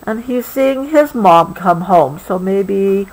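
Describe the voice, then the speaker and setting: A woman reads a picture book aloud to a child, gently adding explanations as she goes. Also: mid-range voice, animated, slow pace, low-quality recording. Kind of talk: read-aloud storybook with explanatory asides.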